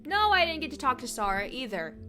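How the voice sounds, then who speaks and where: Bright, chatty young woman streamer. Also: high voice, a little fast, faint music behind. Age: 20s. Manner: chatty, excitable storytelling. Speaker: livestreamer. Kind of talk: casual livestream chat.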